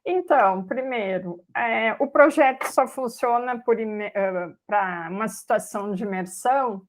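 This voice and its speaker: A middle-aged woman, a professor, asking measured, hesitant questions on a video call. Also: on the high side. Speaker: professor hosting the Q&A. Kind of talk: interview questions.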